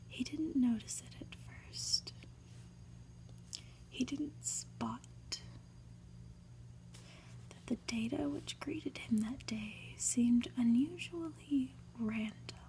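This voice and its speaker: A woman whispers a story in an ASMR style, hushed and breathy. Her delivery is slow and deliberate, with a soft, dark tone and a slightly high pitch. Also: poor audio. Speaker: host reading a graphic novel aloud.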